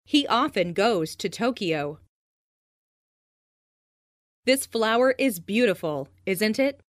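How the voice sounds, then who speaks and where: A woman reads scripted English practice phrases in a clearly enunciated, instructional way. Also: mid-range voice, lively intonation, slow, dry recording, clean studio sound. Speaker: language lesson narrator.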